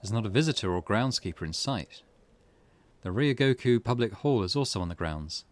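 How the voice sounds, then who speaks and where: A man's voice: lowish voice, expressive, normal speed, dry recording. A man doing let's play narration, reading game text aloud and muttering his own reactions between passages. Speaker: gaming video host.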